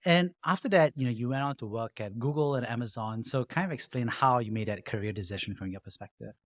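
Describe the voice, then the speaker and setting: A man's voice: medium pitch, fast, no echo, clean studio sound. A man hosting a podcast interview, asking upbeat, conversational questions. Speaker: podcast host. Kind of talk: podcast interview and scripted intro.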